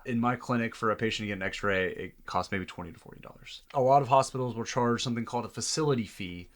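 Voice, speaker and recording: A man's voice: mid-range voice, quick pace. A man speaking as a doctor in an earnest, reflective interview conversation. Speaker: doctor, podcast guest.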